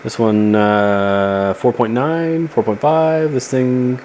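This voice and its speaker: A man's voice: mid-range voice, fairly even intonation, takes it slowly, darkish tone, slight background noise. A man talking casually through a hands-on repair video in a rambling, folksy, laid-back way. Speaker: host of a radio repair video.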